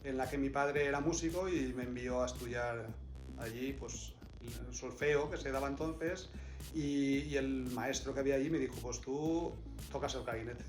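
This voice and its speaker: A man's voice: medium pitch, moderate pace, over music. A man from Valencia, a clarinetist, answering interview questions thoughtfully, often restarting his sentences. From Valencia, Spain. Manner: reflective, many restarts and repeated words. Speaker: clarinetist in a quartet. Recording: echoey room.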